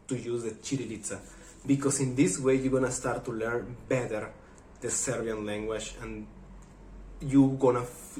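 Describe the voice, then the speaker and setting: A man from Mexico speaks English with a Mexican Spanish accent, eager and chatty, with halting non-native phrasing. His voice sits in a middle pitch. The room around him is echoey. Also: darkish tone, a little hiss or hum. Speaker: vlogger. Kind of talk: casual talk to camera.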